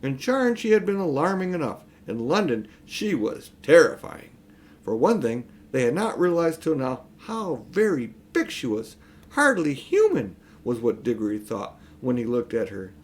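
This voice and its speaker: An older man narrating a children's storybook in an expressive, emphatic storytelling manner. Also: medium pitch, moderate pace. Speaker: audiobook reader.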